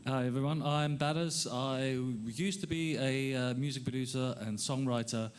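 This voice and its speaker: A middle-aged man speaking as a conference panelist, pausing often with fillers as he thinks aloud. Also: medium pitch, steady tone, a little hiss or hum. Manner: halting, many filler pauses. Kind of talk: panel discussion.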